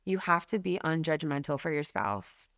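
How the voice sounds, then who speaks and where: A woman's voice: deep voice, animated, moderate pace, fairly bright tone, no room sound, studio quality. A woman guest speaking frankly and emphatically in a candid podcast conversation, swearing casually. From Lebanese background. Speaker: guest, company CEO.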